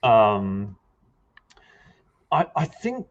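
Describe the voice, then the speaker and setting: A man's voice: lowish voice, wildly swooping pitch, very slow, muffled recording. A man with a New Zealand accent, speaking thoughtfully as a panelist and searching for words. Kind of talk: panel discussion.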